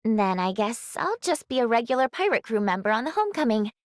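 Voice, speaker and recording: Expressive, easygoing young companion. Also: female voice, a little high, a little fast, no echo, clean studio sound. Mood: easygoing, warm. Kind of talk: anime game voice acting.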